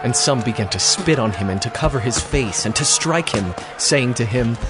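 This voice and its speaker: A man's voice: medium pitch, animated, normal speed, crowd noise. A man giving solemn, scripted narration of scripture. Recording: loud dramatic music underneath.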